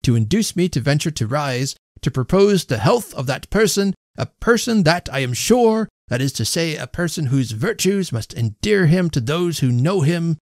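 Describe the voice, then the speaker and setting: A man voicing a self-important, stentorian host who speaks haltingly and pompously in a storybook reading. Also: fairly high pitch, animated, darkish tone, no room sound, studio quality, pitched above the performer's own voice. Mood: pompous, floundering. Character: self-important, stammering middle-class host making a pompous toast. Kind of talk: storybook character voice.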